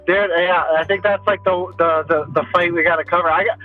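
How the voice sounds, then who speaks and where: A man's voice: sits high, fairly even intonation, fairly quick. A man hosting a podcast in casual, slangy sports banter, often repeating phrases in a bro-ish way. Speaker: podcast host. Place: remote phone call. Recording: tinny phone line, faint music.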